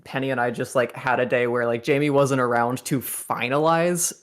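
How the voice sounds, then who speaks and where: A man's voice: mid-range voice, steady tone, moderate pace, a bit bright. A young man talking casually on a group commentary about writing song lyrics, rambling and laughing often. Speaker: songwriter on a fandub commentary.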